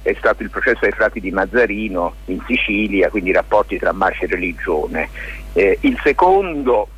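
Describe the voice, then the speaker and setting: A mature man, an interview guest, explains things informally and discursively, often hesitating, in a husky, rough voice. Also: fairly low pitch, expressive, moderate pace, fairly bright tone, highs cut off. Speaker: lawyer and theatre maker, interview guest.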